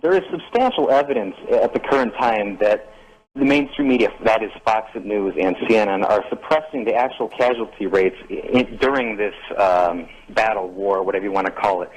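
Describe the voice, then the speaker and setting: A man's voice: fairly low pitch, normal speed, poor audio. A man calling in to a live TV program, giving an earnest-sounding comment on the news.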